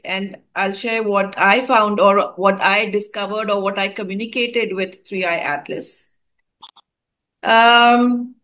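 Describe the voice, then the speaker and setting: Woman, from India, host, slow pace, hesitant, restarts often. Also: mid-range voice, a bit bright, poor audio. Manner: hesitant, many fillers and restarts. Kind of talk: casual spoken account of a spiritual experience.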